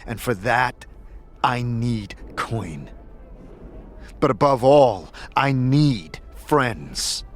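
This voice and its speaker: A man's voice: medium pitch, expressive, drawn-out words, faint music behind. A man voicing a weary, guarded immortal adventurer in a fantasy audio drama. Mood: weary, frank.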